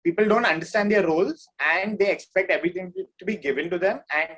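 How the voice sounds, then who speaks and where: A man from India speaks as a musician in an interview. His voice sits a little above average in pitch. He explains things casually and informally. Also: quick pace, a bit bright, breathy edge. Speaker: band drummer, interview guest.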